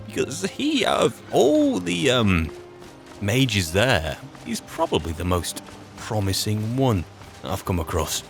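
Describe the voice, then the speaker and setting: A man's voice: fairly low pitch, wildly swooping pitch, slow pace, creaky, soft background music, full character voice. A man roleplaying a wry, boastful archmage adventurer, muttering sardonic asides in colloquial speech as he plays. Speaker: roleplaying gamer narrating in character as an adventurer mage. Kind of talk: in-character game roleplay commentary.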